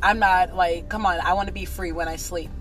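A hoarse young woman chatting playfully in a parked car. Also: on the low side, fairly even intonation, fairly quick, over music, rough recording. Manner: playful, laughing, churchy slang. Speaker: vlog co-host. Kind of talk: casual chat in a car. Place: parked car in a drive-thru line.

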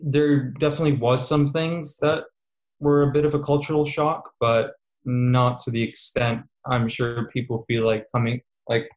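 A man's voice: medium pitch, rough recording. A man in his twenties, a student guest in a casual interview, talking with many fillers and easy laughs. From Serbia, raised in Qatar. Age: 20s.

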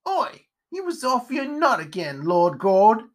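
Irritable, scolding spider, pitched up. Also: sing-song, slow. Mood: fed up. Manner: folksy ungrammatical scolding with colorful insults. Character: grumbling, scolding, irritable one-eyed old spider.

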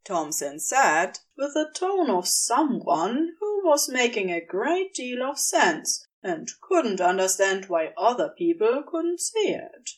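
A woman's voice: on the high side, big pitch swings, slow pace, hardly any pauses, far from the mic. A woman narrating a scripted story, recorded slightly away from the microphone.

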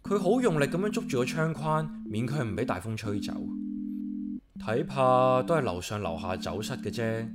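A man's voice: mid-range voice, sing-song, moderate pace, loud music behind the voice. A man with a Hong Kong accent playing a grumpy, solitary, sarcastic man in a comic radio drama, grumbling and muttering to himself. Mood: grumbling, aloof. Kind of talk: comic radio drama acting and inner monologue.

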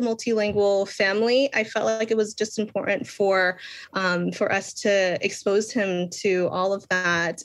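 A young adult woman from Boston, an author answering interview questions earnestly, with many pauses and restarts. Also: medium pitch, no room sound. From Boston, Massachusetts. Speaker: children's book author, guest.

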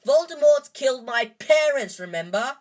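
A woman voicing a desperate eleven-year-old boy wizard who shouts and pleads at his friends. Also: high-pitched, lively intonation, normal speed, pitched above the performer's own voice. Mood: furious shouting.

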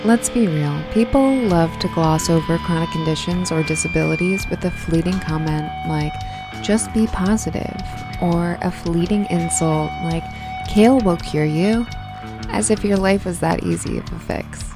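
A woman's voice: on the low side, normal speed. A podcast host reading her show's scripted intro in an earnest, reassuring way. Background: guitar music.

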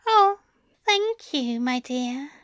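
A woman reading a story aloud and voicing a gentle, caring man who speaks softly and reassuringly. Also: sits high, lively intonation, extremely slow, no echo, studio quality, voice pushed up, exaggerated voice. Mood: tender. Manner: soft, tender, reassuring. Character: gentle, fussy, kindly man, soothing and caring. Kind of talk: audiobook narration of fan fiction.

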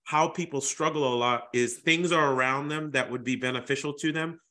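Bright-voiced man hosting a podcast. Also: mid-range voice. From Boston, USA. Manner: halting, repeats words while searching for phrasing. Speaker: podcast host. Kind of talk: casual interview chat.

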